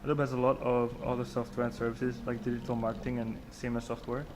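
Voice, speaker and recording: Chatty streamer walking outdoors with noise behind. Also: male voice, medium pitch, little pitch movement, fast. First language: not Swedish. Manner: chatty, slangy, talks to chat. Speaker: livestreamer. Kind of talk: casual live-stream chat while walking. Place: outdoors by the water, wind and rain.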